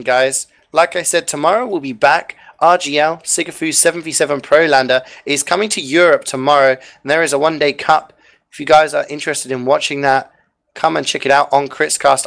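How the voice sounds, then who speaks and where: A man casting an online game match live, chatty and upbeat with frequent fillers, a bit hoarse as he loses his voice. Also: on the high side, brisk, fairly bright tone. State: losing his voice. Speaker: esports caster. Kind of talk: live esports commentary and sign-off.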